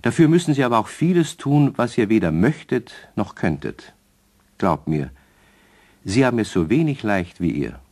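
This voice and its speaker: An actor as an avuncular narrator of a children's radio play, measured and expressive, speaking to young listeners. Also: male voice, fairly low pitch. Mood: gently explanatory. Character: avuncular male storyteller addressing children. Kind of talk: scripted children's storytelling.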